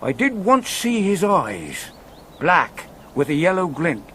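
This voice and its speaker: A man's voice: on the high side, sing-song, slow, a bit bright, a little hiss or hum, full character voice. An actor playing an earnest, wary village watchman in a radio drama, plain-spoken and deferential. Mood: uneasy recollection.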